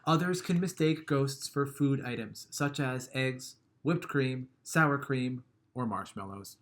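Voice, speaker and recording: A man reading a children's picture book aloud, gentle and expressive, letting line endings trail off softly and chuckling at the funny bits. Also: mid-range voice, slow.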